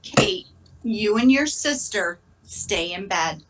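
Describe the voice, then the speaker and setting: A woman playing a calm, protective mother in an amateur stage play reading, gentle and deliberate. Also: mid-range voice. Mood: reassuring. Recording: noisy, distant home microphone.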